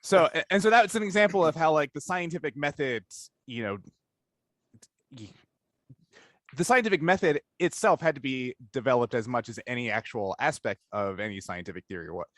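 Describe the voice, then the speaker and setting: A man's voice: mid-range voice, animated, fairly quick, a bit bright, dry recording, studio quality. A man hosting a casual interview, rambling and interrupting himself as he thinks aloud. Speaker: interview host.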